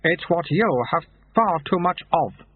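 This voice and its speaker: A man's voice: a little high, lively intonation, unhurried, breathy edge, no echo, voice pushed up. A man doing a smug, sly secret-society monk. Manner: smug, patient and slyly teasing. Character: mysterious dry-witted male recruiter monk. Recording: tinny phone-like sound.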